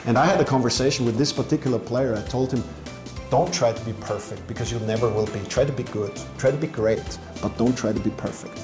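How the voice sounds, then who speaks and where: Man from Austria, relaxed coach, medium pitch, roomy echo. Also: fast talker. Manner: relaxed, joking, with restarts. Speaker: basketball coach. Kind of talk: casual interview. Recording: echoey room with music behind.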